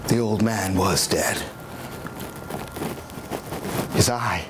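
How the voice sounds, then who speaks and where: An older man playing a nervous, mad murderer in an intense, deliberate theatrical reading of gothic horror. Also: fairly low pitch, heavy background noise, lightly acted. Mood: cold, cunning. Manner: intense, deliberate, swinging from hushed to shouting. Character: mad, nervous murderer confessing with gleeful cunning. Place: indoor room in an old house, before small audience.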